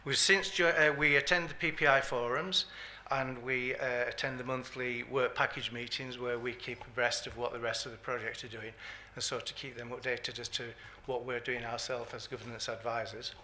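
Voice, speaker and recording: A man gives a conference talk, pausing often with ums and uhs. His voice is bright and forceful at a middle pitch. Faint room noise sits behind him. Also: steady tone. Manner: hesitant, many ums and uhs. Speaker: public governance advisor, patient. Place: conference hall.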